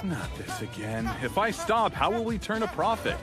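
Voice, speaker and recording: A greedy, megalomaniac young cartoon boss, gloating and theatrical. His voice sits very high and leaps wildly from sly asides to bellowed commands. Clear music plays behind him. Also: very animated, normal speed. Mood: scheming. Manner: theatrical, swinging from sly muttering to maniacal bellowing. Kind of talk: animated comedy dub acting.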